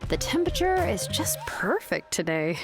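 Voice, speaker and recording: A woman's voice: fairly low pitch, very animated, moderate pace. An actress playing an easygoing adult craftswoman in a video game, talking in a laid-back, matter-of-fact way. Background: game music.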